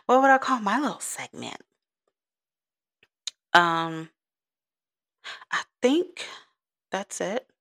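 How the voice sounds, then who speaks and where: Low, slow, gravelly woman. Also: bright, forceful tone. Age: 30s. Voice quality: soothing. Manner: rambling and giggly. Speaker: podcast host. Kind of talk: casual solo podcast chat.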